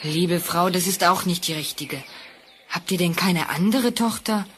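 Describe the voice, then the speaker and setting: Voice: mid-range voice, measured pace, fairly bright tone, a little hiss or hum, close to an everyday voice. An actor playing a noble, determined young prince in a fairy-tale audio play, speaking earnestly and formally. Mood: insistent.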